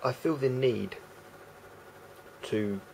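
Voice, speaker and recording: Guy with a croaky gravelly voice rambling on in a vlog, lots of ums, faint hum in the background. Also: mid-range voice, very slow, darkish tone, low-quality recording. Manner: rambling, hesitant, full of fillers and restarts. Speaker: vlogger. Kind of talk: casual monologue to camera.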